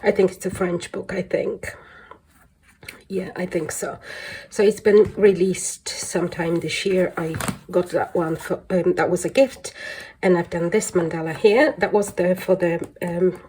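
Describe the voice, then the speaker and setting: A woman's voice: on the low side, steady tone, unhurried, mellow, slightly rough. A woman with a congested voice chatting casually through a show-and-tell hobby vlog, rambling and a little hesitant. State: congested from flu. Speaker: colouring hobby vlogger.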